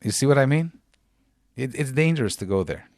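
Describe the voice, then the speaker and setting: A man's voice: medium pitch, expressive, brisk, a little mellow, no room sound. A man hosting a podcast, asking questions and joking in a playful way. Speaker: podcast host. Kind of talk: casual interview chat.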